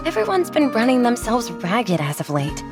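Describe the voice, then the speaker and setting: A woman playing a calm, easygoing young squadmate in a video game, with a gentle, reassuring manner. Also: on the high side, moderate pace, over music. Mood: reassuring, teasing. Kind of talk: voiced game story dialogue.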